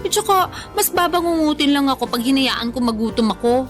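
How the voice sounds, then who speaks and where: Chatty stubborn young woman, kinda high voice, playful, radio drama with music behind. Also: animated, a little fast. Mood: insistent, playful.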